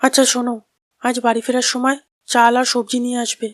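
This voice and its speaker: A woman's voice: fairly high pitch, level tone, fairly quick, no echo, clean studio sound, lightly acted. A woman playing a boatman's homely wife in a cartoon, making matter-of-fact requests. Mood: homely, requesting. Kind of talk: cartoon dialogue.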